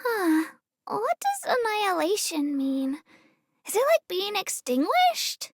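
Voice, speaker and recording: A woman's voice: very high-pitched, big pitch swings, slow, no room sound, studio quality, exaggerated voice. A woman voicing a small, girlish creature tour guide in a game, earnest, polite and a little hesitant as she gives her commentary. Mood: puzzled. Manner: polite, earnest, slightly hesitant, self-effacing.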